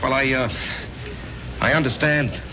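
An actor playing a troubled, evasive ex-combat soldier in a radio drama, speaking haltingly. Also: male voice, mid-range voice, steady tone, bright, forceful tone. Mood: uneasy, evasive. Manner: hesitant, halting. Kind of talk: 1970s American radio drama acting. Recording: dull, noisy old radio recording.

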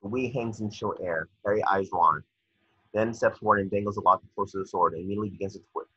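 Young male narrator for screenplay directions: husky, forceful, dry and slightly muffled. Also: lowish voice, fast talker, no room sound. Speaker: narrator reading stage directions. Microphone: computer mic on video call. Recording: dull, dry video-call audio.

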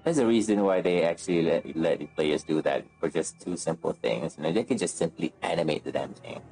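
Deep-voiced, laid-back man streaming games. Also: measured pace, darkish tone, soft background music, rough recording. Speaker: game streamer. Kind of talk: casual gameplay commentary. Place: indoor room at home, on webcam.

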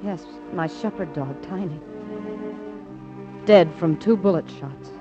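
An actress playing a soft-spoken, composed new widow, polite and hesitant, in a 1950s radio drama. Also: female voice, on the low side, slow pace. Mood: subdued, composed. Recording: dull old radio recording. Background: music.

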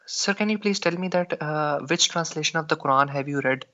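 Man, caller, polite, hesitant, bright tense voice, dull lo-fi line. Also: a little high, brisk. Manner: polite, hesitant, says sir often. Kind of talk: call-in question.

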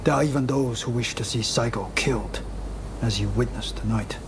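A man voicing an earnest, composed samurai retainer in a video game, speaking in a measured, formal, solemn way. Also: medium pitch, background noise, lightly acted. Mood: solemn, explanatory. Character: earnest, composed male samurai retainer, loyal to his comrade. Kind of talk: dramatic video game voice acting.

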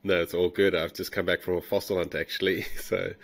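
A middle-aged man from South Africa with a South African accent blended with a New Zealand one, telling stories in a relaxed, rambling way on a video call. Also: sits low, fairly quick, gravelly. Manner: relaxed, rambling with fillers and restarts. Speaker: guest, fossil hunter. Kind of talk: casual storytelling interview. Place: home room.

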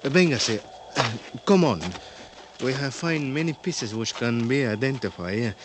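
An earnest Mexican investigator voiced by a male actor with a medium-pitched voice and a Spanish accent. He explains things helpfully in hesitant broken English, dropping in Spanish words. It sounds like vintage radio drama with faint noise. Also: normal speed, lightly acted. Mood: earnest, explaining. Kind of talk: 1950s radio drama acting. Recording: noisy old radio broadcast.

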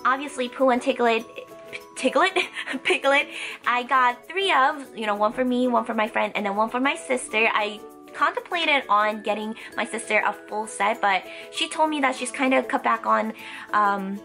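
A young woman chatting casually and excitably as a haul vlog host, slightly stuffed up from a cold. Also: a little high, normal speed, faint music behind. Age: young adult. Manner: bubbly, excitable. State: stuffy, getting over a cold. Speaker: host of a toy haul channel. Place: indoor room lined with plush toys.

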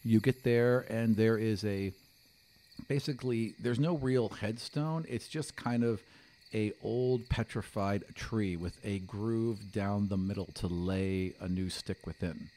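A man's voice: lowish voice, a little slow, dark tone, slight background noise. A man in his thirties improvising narration as game master, close on a podcast microphone in a small room. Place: small studio room, indoors. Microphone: close podcast microphone on a stand.

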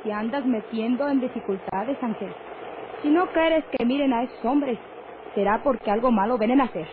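An actress playing a suspicious, worried Mexican ranch wife who questions and scolds, in an old radio drama. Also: female voice, on the high side, normal speed, close to an everyday voice. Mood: reproachful. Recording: noisy, tinny old radio recording.

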